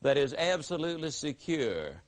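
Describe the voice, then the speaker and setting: An earnest young son full of questions for his dad, as a Southern speaker puts him on in a lightly higher, curious tone. The voice stays close to the speaker's own bright, high register. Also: big pitch swings, moderate pace. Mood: questioning.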